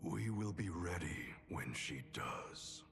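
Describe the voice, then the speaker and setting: An actor playing a stern, menacing ninja grandmaster in a video game, slow and commanding with a gravelly voice. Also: male voice, sits low, expressive, exaggerated voice. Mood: cold, commanding. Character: stern, menacing male ninja clan grandmaster, coldly ambitious. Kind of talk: video game cutscene acting. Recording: music behind the voice.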